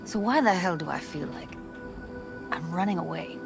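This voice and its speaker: Young city woman, fairly low soft voice, hesitant, wistful, game dialogue, clear music behind. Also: animated, normal speed. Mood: uneasy, reflective. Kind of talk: video game voice acting.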